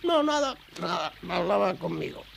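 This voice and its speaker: A man voicing a cranky, eccentric old gold prospector in a Spanish cartoon dub, muttering excitably in a gravelly, high voice. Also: moderate pace, slight background noise, full character voice. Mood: grumbling. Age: older. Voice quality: creaky, gravelly, high-pitched. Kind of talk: animated cartoon dub acting.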